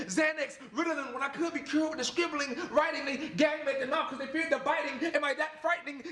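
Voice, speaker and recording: A man playing a troubled Marine veteran who speaks confessional spoken-word poetry, sometimes halting, sometimes impassioned. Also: extremely high pitch, sharp tone. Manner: halting, stammering talk that turns into impassioned rhymed verse. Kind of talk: spoken-word poetry performance, rhythmic and rhyming. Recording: echoey room with faint background noise.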